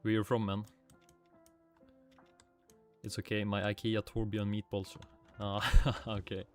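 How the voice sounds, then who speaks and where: Swedish man, fairly low voice, relaxed game-stream chatter, faint music behind. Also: measured pace. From Sweden. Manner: relaxed, muttering to himself, casual swearing, reading chat aloud. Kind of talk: casual livestream gaming chat. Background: faint music and keyboard typing.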